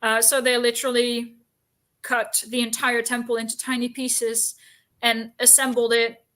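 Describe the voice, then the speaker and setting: A woman with a somewhat high-pitched voice talks casually, as if co-hosting a discussion. She explains things in a talkative, informal way, dropping in asides as she goes. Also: flat delivery, measured pace, a bit bright. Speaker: book discussion co-host. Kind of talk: casual chat about books and history.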